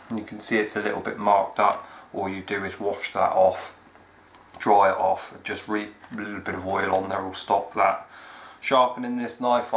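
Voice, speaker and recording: A man's voice: on the low side, fairly bright tone. A man giving a plain-spoken, enthusiastic review of a knife in a casual chatty way. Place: indoors at a wooden table. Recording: echoey room with faint background noise.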